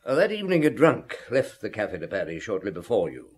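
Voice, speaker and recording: Calm police chief inspector, low, middle-aged, probing, radio drama. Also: male voice, quick pace, slightly rough. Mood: polite, probing.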